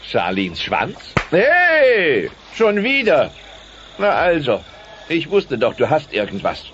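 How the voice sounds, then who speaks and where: An actor playing a brash, leering, meddling dinosaur buddy in an audio drama, cheeky and full of exclamations. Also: male voice, huge pitch swings, slow, a bit bright, heavily acted. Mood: nosy. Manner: cheeky, exclaiming, swoops in pitch. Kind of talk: German children's audio drama acting. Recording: dull, noisy cassette transfer.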